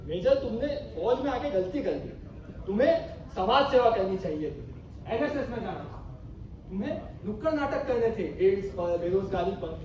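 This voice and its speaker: A man's voice: high-pitched, very animated, moderate pace, darkish tone. A man playing a bitter, defiant army captain in a theatrical stage play, with an impassioned, sarcastic, rhetorical delivery. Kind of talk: theatrical Hindi stage acting. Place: stage of a hall at a student festival. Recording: echoey hall, far mic, noisy.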